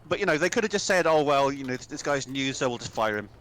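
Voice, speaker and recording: A man's voice: mid-range voice, expressive, quick pace, a bit bright, no room sound. A man chatting casually while gaming, with lots of restarts and fillers. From England. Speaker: co-player on a game stream.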